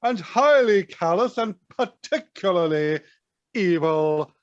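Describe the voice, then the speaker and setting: High, ponderous, severe judge acted by a man. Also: lively intonation, slow pace, no echo. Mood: stern, outraged. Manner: pompous, ponderous, disdainful. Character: pompous, severe court judge. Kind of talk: audio play acting. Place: living room, recorded over video call. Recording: dull lo-fi video call audio.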